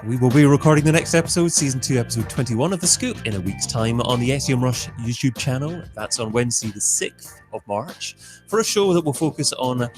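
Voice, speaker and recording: A man's voice: medium pitch, expressive, brisk, music in the background. A man co-hosting a marketing podcast, upbeat and playful as he moves the show along. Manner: upbeat, playful sign-offs. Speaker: co-host.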